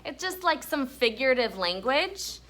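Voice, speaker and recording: A woman's voice: high-pitched, big pitch swings, moderate pace. A woman teaching an elementary class, asking questions and reading a story aloud to pupils. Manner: asks questions, echoes pupils' answers. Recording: faint room noise.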